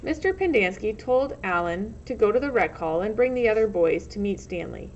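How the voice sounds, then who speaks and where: A woman's voice: mid-range voice, expressive, moderate pace. A woman reading a storybook aloud as narrator, in the manner of a teacher. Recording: muffled lo-fi recording, loud background noise.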